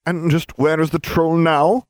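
A man's voice: fairly high pitch, level tone, measured pace, no room sound, clean studio sound, voice pushed up, lightly acted. A man voicing a cold, sneering male potions professor who talks in clipped, disdainful lines. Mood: silky menace.

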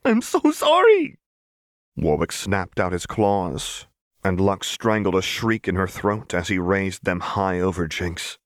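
A man's voice: deep voice, fairly even intonation, a little slow, no echo, clean studio sound. A man reading scripted fiction as an audiobook narrator, in a dramatic storytelling style.

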